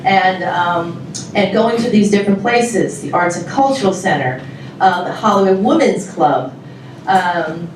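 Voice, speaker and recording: A woman's voice: lowish voice, expressive, a little hiss or hum. Giggly woman in an echoey hall. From Taiwan. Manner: chatty, giggly, halting with many fillers. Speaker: music club organizer presenting a show. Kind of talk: informal welcome speech to an audience. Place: large indoor hall with live audience. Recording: very echoey hall, mic far off.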